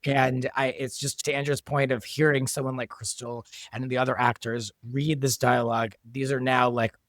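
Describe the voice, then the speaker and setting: A man talks in a behind-the-scenes interview with a mid-pitched voice. He is reflective, working out his thoughts as he speaks. The recording is close and free of room sound. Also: flat delivery, normal speed, a bit bright, no room sound. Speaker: podcast guest, audio drama writer and sound designer.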